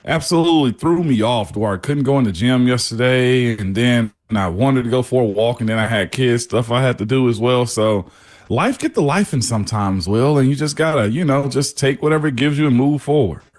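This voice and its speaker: A man's voice: medium pitch, brisk, a little mellow. A man in his forties co-hosting a sports radio show from home, laid-back and laughing often. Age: 40s. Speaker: co-host. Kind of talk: casual sports radio chat. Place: at home, remote.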